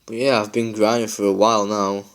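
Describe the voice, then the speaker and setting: A man chatting casually while playing a mobile game, tired and a bit croaky, rambling with lots of fillers. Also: on the low side, little pitch movement, a little fast. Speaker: gaming YouTuber. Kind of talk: casual gameplay chat.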